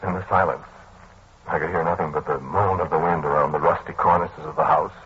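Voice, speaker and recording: An actor playing a haunted, earnest man who recounts a dark tale in old-time radio style, with dramatic, broken phrasing. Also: low voice, husky, exaggerated voice. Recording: muffled, hissy old radio recording.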